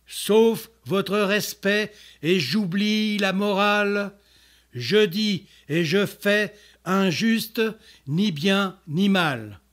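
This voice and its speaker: Male poet, notably high voice, solemn declamatory recitation, extremely slow delivery. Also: a bit bright. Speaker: poet reciting own poem.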